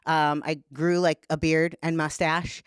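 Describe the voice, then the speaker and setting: A woman's voice: sits low, flat delivery, unhurried, clean tone, dry recording, studio quality. A woman guest on a podcast, speaking frankly and casually, swearing freely and filling her talk with "like". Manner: blunt, profane, talks with lots of "like". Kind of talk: candid casual conversation.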